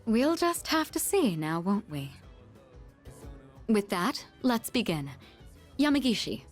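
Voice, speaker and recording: A woman's voice: a little high, very animated, slow, soft background music, exaggerated voice. A woman voicing a refined, commanding senior schoolgirl in a game, polite and formal. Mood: composed, courteous. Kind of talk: game voice acting.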